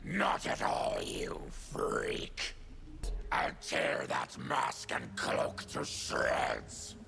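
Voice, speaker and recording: An actor voicing a fierce, monstrous fanged warrior in a video game, with a guttural growl and a threatening manner. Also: male voice, a bass voice, wildly swooping pitch, vocal fry, pushed and bright, exaggerated voice. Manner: slow, threatening. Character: monstrous male fanged blade-armed warrior, fierce, hostile. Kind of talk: video game fighter dialogue.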